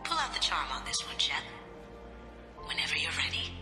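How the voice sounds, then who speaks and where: An actress playing a confident, playful and secretive master thief in a video game, with a cool, teasing, self-assured manner. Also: female voice, deep voice, very animated, a little fast, long reverb tail, music in the background. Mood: sly, confident.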